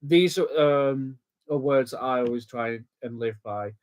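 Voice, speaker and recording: A man's voice: mid-range voice, unhurried, darkish tone. A man co-hosting a casual livestream, chiming in agreeably with short remarks. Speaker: co-host.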